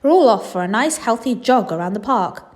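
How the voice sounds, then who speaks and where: A woman's voice: fairly high pitch, animated, a little fast, a little mellow, pitched above the performer's own voice, close to an everyday voice. A woman voicing a bossy, determined mother elephant in a children's storybook, speaking firmly and emphatically. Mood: bossy, brisk. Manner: firm, stern, emphatic. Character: bossy, determined adult female elephant mother, diet-obsessed.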